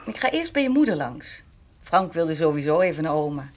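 An actress playing a practical, self-assured and reserved woman in a naturalistic radio drama, with a husky voice. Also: low voice, very animated, a little fast, darkish tone. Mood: polite, reserved.

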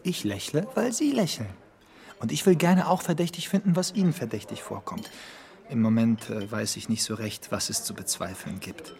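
An actor playing a charming, playful young gentleman in a German radio drama. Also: mid-range voice, normal speed, vocal fry, close to an everyday voice. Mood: playful, evasive.

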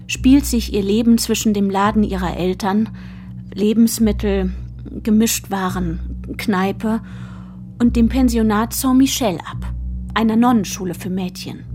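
A woman's voice: medium pitch, dark tone, lightly acted. An actress narrating a literary radio drama, portraying a proud, bookish small-town girl.

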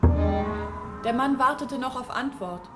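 An actress in a German radio play playing a solemn village storyteller. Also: female voice, high-pitched, animated, takes it slowly, over loud music.

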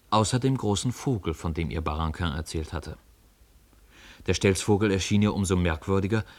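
A man narrating a story for a radio play in scripted storytelling style. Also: lowish voice.

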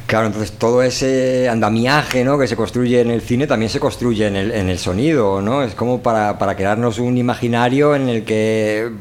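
A man's voice: medium pitch, moderate pace, few pauses, slight background noise. A man hosting a radio show, talking informally and colloquially, often checking in with short tag questions. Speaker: radio show host and voice performer. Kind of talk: informal spoken radio talk.

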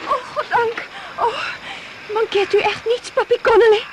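A young woman in peril in an old radio play. Her voice is very high and shrill, fearful and tender, on a noisy recording. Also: steady tone, normal speed. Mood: relieved. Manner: fearful, pleading. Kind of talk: radio drama acting.

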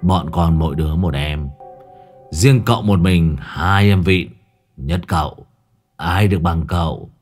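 A man's voice: sits low, unhurried, faint music behind, pitched below the performer's own voice. A man voicing a lazy, cynical young male sidekick who talks crudely and mockingly, in a comic spoken story.

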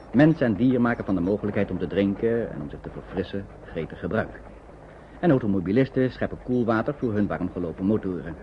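An actor playing a calm storyteller, reading scripted narration for a Dutch radio drama. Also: male voice, lowish voice, natural voice. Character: calm storyteller of a wartime journey. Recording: dull, slightly noisy old radio recording.

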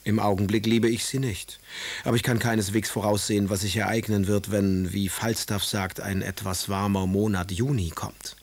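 An actor playing a passionate, melancholic and sardonic Romantic poet in a literary radio drama, with a husky voice. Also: male voice, fairly low pitch, flat delivery, normal speed. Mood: wry. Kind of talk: literary radio drama, reading letters aloud.

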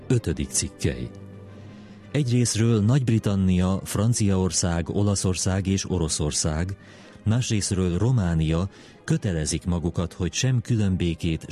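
A man reading official document text aloud in a measured, formal way for a history programme. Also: sits low, mellow. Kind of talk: formal read-aloud of treaty articles.

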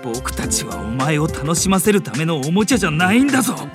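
A man's voice: on the high side, very animated, fast, music in the background. A man voicing a serious older brother who speaks stiffly and gets irritated easily, in a drama CD. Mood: exasperated. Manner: stiff, formal, exasperated. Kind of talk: anime drama CD acting.

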